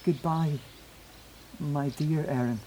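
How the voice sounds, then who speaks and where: An actor playing a wistful, reflective man in a naturalistic audio play, speaking haltingly and lyrically. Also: a little high, steady tone, mellow, slight background noise, low-quality recording. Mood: tender, resigned. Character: wistful, elated man saying goodbye to his beloved. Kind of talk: naturalistic audio drama acting.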